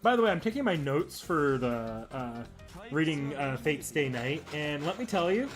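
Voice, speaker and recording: Male game streamer, lighter-pitched, chatty and meandering with frequent self-corrections, music playing behind. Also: lively intonation, moderate pace. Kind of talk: casual gameplay commentary and chat.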